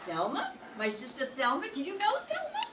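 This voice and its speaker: A gossipy, scatterbrained lady who prattles on, with a very high, swooping voice, as an older woman acts her out. The room is echoey and the mic distant. Clear room noise sits underneath. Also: huge pitch swings, brisk. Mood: chatty. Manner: prattling, gushing. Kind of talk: 1940s radio acting.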